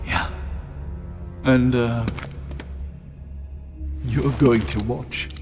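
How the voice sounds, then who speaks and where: A man's voice: medium pitch, little pitch movement, music in the background. A man playing a sadistic, gloating wartime villain who taunts his victim in a video game. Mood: gloating menace. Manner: slow, taunting. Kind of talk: dramatic video game acting.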